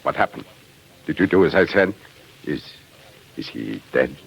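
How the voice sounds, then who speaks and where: An actor playing a cruel, blustering Russian commandant who is a coward underneath, in 1940s radio horror style. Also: male voice, extremely low pitch, expressive, normal speed, vocal fry, husky, muffled recording, exaggerated voice. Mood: furious, bullying. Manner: barking, bullying, turning to pleading. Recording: noisy vintage 1940s radio recording.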